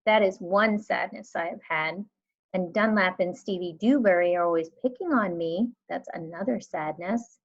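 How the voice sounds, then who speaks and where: A woman's voice: fairly low pitch, moderate pace. Woman reading as an earnest little girl, muffled. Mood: sad, confiding. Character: earnest, lonely young Southern girl in a storybook. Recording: dull lo-fi recording.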